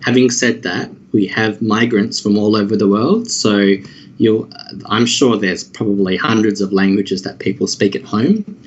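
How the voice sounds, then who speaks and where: A man's voice: on the low side, husky. An Australian man answering questions in casual conversation, rambling and hesitant with many fillers and restarts. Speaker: interviewee. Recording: dull lo-fi audio.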